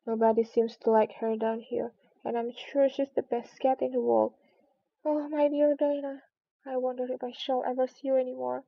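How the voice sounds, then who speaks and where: A woman plays a curious little girl from a storybook, high in pitch. She is polite and wistful, musing earnestly to herself. The sound is close and dry, a little muffled. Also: flat delivery, moderate pace, vocal fry, dry recording. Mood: melancholy, lonely.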